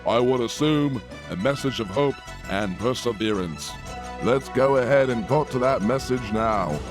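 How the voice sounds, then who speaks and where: An actor playing an earnest broadcast announcer delivering mock news and play-by-play in a satirical audio play. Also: male voice, medium pitch, unhurried, over music, natural voice. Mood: grave. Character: earnest male news anchor turned sports play-by-play announcer.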